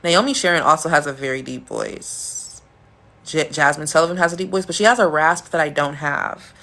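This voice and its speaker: Deep-voiced woman chatting on a livestream. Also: moderate pace, bright, forceful tone. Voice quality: deep, smooth, sultry voice. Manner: playful and chatty, with bursts of high laughter. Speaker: livestream host. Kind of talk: casual livestream chat, answering comments.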